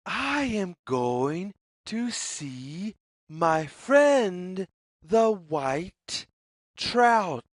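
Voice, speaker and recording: A woman reads a children's story aloud at a very slow pace. Her slightly low voice swings widely up and down in a singsong, repeating pattern. The sound is dry and close, as in a read-along for small children. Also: very animated, no echo. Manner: deliberate, singsong, repetitive phrasing with questions to the listener. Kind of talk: read-aloud picture book for young children.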